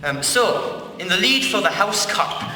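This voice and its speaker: A man's voice: high-pitched, lively intonation, unhurried, sharp tone, heavily acted. A young man playing a pompous, biased wizard school headmaster giving a mock speech in a comic amateur radio play. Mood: pompous, brisk. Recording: echoey hall from far off, faint noise.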